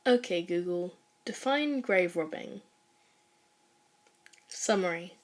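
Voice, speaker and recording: A woman's voice: lowish voice, expressive, slow. A young adult woman speaking informally as she introduces and reads her own fan fiction. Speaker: podfic author and reader. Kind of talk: casual spoken intro and fan fiction narration.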